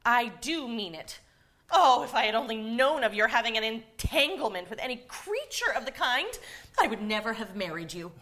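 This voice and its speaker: A woman's voice: fairly high pitch, very animated, moderate pace, fairly bright tone. A woman playing a haughty, jealous young wife in a theatrical reading of a period comic ghost story. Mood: indignant. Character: indignant jealous young wife, melodramatic narrator of a ghost comedy.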